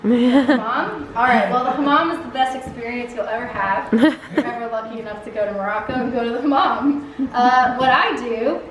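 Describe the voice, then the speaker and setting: A woman's voice: on the high side, normal speed, crowd noise. A young woman chatting casually in a Q&A, laughing often and using lots of fillers. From United States. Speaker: Peace Corps volunteer answering questions. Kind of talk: casual Q&A chat. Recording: echoey room, distant mic, background noise.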